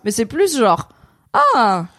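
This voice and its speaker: A woman's voice: on the high side, big pitch swings, slow, fairly bright tone, dry recording. A woman hosting a casual pop-culture chat, talking at length and explaining eagerly with lots of fillers. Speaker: podcast host.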